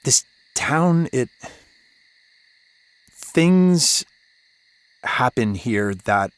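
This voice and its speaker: An actor playing a broken-down, haunted radio DJ in a horror audio drama, hesitant and defensive. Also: male voice, medium pitch, animated, drawn-out words, clean tone, dry recording. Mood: haunted, hushed. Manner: hesitant, guarded.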